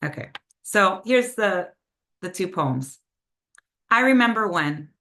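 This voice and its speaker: A woman's voice: mid-range voice, expressive, sharp tone. A woman whose first language is Spanish, reading her own poems over a video call and chatting casually between them with lots of "um" and "like.". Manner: slower, measured delivery with Spanish phrases when reading poems. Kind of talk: poetry reading with casual spoken introductions.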